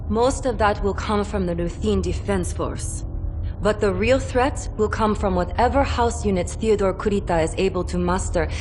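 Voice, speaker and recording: A woman's voice: medium pitch, close to an everyday voice. A woman acts a composed officer briefing in a game cutscene. Her mid-range voice is precise, factual and dutiful. Faint music runs underneath. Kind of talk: video game cutscene acting.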